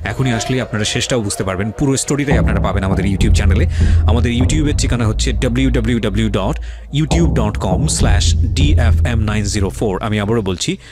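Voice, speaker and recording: A man's voice: lowish voice, a little fast. A man with a Bangladeshi accent hosting a radio interview and commenting, mixing English phrases into his speech. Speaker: radio host. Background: loud background music.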